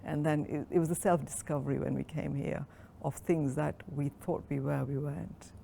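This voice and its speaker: A woman in her sixties from Kenya, recalling her life at a relaxed pace in an oral history interview, with frequent pauses and ums. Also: low-pitched, gravelly, slight background noise. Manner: reflective, unhurried storytelling with frequent ums.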